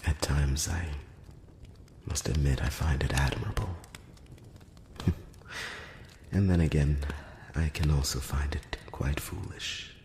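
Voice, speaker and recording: A man voicing an ancient, courtly male elf emissary in a soft, whispery, close-up ASMR roleplay, speaking with formal, slightly condescending courtesy. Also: reverberant, long reverb tail, noisy background, exaggerated voice. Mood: fascinated, musing. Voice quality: very low, soft, often slipping into whisper. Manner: slow, hushed, measured, with formal courteous phrasing. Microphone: close-up microphone.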